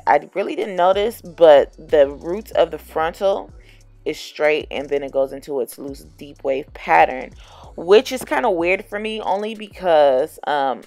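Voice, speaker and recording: A woman's voice: deep voice, gravelly, a little mellow. A woman chatting casually to camera as a wig-making vlogger, explaining each step and often correcting herself. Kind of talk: casual how-to chat to camera.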